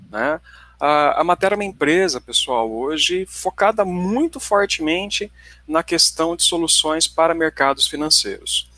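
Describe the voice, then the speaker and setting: Paraná guy in his 40s presenting, bright kinda high voice, chatty, keeps checking in. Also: dry recording. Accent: Paraná Brazilian Portuguese. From Paraná, Brazil. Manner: conversational, checks in with listeners often. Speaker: company presenter. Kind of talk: online tech webinar presentation.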